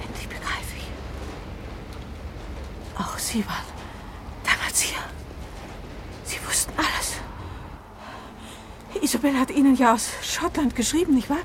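A woman's voice: high-pitched, measured pace, long pauses, in a whisper. A woman playing a shrewd, agitated woman novelist turned sleuth in a German radio drama, speaking urgently and emphatically. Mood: dawning realisation, accusing.

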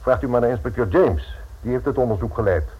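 An actor playing a haughty, condescending society lawyer in a radio play, formal and scornful in manner. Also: male voice, mid-range voice, fast, husky, lightly acted. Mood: dismissive. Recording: muffled old radio recording.

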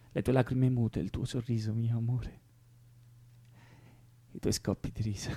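Volatile anguished young guy in a radio drama, husky voice, mellow, keeps repeating stuff. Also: fairly low pitch, no echo. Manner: volatile, repetitive, drifting into whispers. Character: anguished, erratic young leftist man, pleading and playful. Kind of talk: naturalistic radio drama acting.

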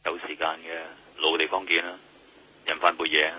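An actor playing a laconic veteran detective heard over the telephone in a radio drama. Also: male voice, rumbling low, level tone, a little fast, bright, forceful tone, breathy edge, exaggerated voice, rough recording. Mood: casual, laconic. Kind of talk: Cantonese radio drama acting.

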